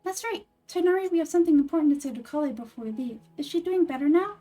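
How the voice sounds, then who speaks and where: A woman voicing a small, chirpy, excitable childlike fairy companion in a video game, with a high squeaky voice. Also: fast, soft background music, lightly acted. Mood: bright, eager. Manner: excitable, perky.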